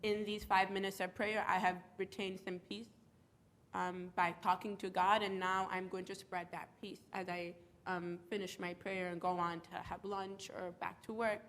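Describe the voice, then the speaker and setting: A woman's voice: mid-range voice, level tone, moderate pace, fairly bright tone. A woman from the Bronx, stuffy from a cold, speaking earnestly and reflectively as a panelist. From United States (the Bronx, New York). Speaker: panelist, community organizer. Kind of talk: panel talk.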